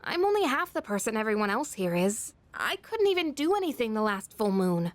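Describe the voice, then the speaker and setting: High, expressive teenage schoolgirl. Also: a little fast, dry recording. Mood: self-doubting. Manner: casual, expressive. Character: teenage schoolgirl, friendly, candid, self-doubting. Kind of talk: anime-style video game voice acting.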